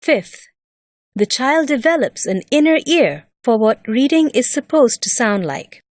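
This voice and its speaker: A woman narrating a scripted educational lesson in a measured, deliberate and expressive way, like a teacher explaining step by step. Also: high voice.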